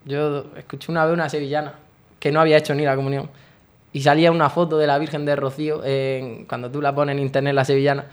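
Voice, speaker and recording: A man's voice: on the high side, level tone, fast, clear, resonant tone. A young man in his late teens, earnestly and devoutly describing his faith in a radio interview. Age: young adult. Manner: earnest, devout, talks at length. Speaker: guest, brotherhood member.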